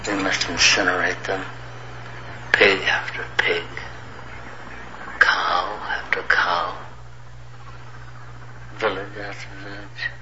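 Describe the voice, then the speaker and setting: An actor playing a brooding, menacing older renegade army colonel, speaking low and slow in a half whisper, in a film clip. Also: male voice, flat delivery, pushed and bright, heavily acted. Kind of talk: film dialogue. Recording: muffled film audio with background hiss.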